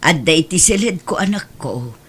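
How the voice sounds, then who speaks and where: A woman's voice: fairly low pitch, animated, normal speed, breathy edge. A woman acting a homely, caring, weary middle-aged mother in an audio drama. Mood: tired, gentle.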